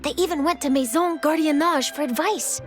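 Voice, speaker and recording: A woman's voice: high voice, a little fast, lightly acted. A woman playing a polite, well-informed young female journalist in a video game, explaining things articulately. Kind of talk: video game character dialogue. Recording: music under the voice. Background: game background music.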